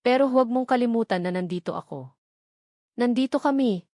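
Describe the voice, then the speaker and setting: A woman with a Filipino accent voicing a gentle, supportive, devoted wife in an audio drama, speaking tenderly. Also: on the high side, fairly quick, no room sound, clean studio sound, voice pushed up, close to an everyday voice. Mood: tender, reassuring.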